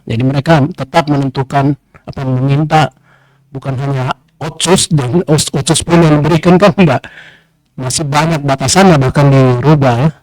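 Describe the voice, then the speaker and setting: A man panelist making a measured point in a discussion. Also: medium pitch, darkish tone, no room sound, low-quality recording.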